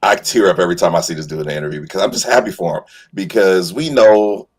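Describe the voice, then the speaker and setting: A man's voice: low-pitched, quick pace, slightly rough. A man talking casually and enthusiastically as a fan on a panel, effusive and slangy. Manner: effusive, slangy, addresses listeners as bro and man.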